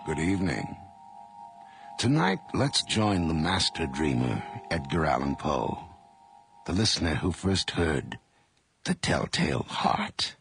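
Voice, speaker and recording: A man's voice: very deep voice, very animated, slow, music in the background, full character voice. A man playing a sinister horror anthology host, introducing a radio play in a portentous, menacing manner. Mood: ominous.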